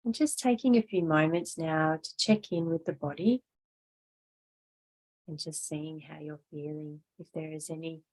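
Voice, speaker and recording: A woman yoga teacher gently guiding a meditation class in a measured, instructional way. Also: low-pitched, fairly even intonation, low-quality recording.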